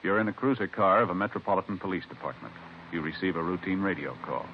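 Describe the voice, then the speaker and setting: A man's voice: low voice, animated, quick pace, faint music behind. A man announcing a scripted 1950s radio commercial with punchy, emphatic salesmanship. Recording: muffled vintage radio recording.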